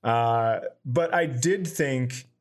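A man's voice: mid-range voice, extremely slow. A man, a comedian hosting a podcast, telling profane and exaggerated stories in casual chat.

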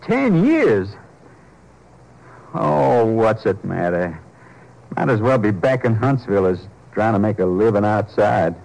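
An actor playing a bitter, defeated ex-convict with an American accent and a gruff working-class drawl, in a 1950s radio drama. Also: male voice, lowish voice, sing-song, a little slow, long pauses, a little mellow, full character voice, low-quality recording. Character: bitter, defeated Texas ex-convict, defensive, loves his wife. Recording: old 1950s radio broadcast recording.

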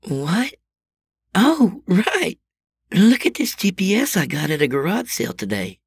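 A man voicing a cheerful, excited middle-aged wife in a storybook reading, with a raspy, gravelly voice. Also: a little slow, no room sound, clean studio sound, exaggerated voice. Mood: drowsy, cheerful. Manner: bright, excited, swooping up high.

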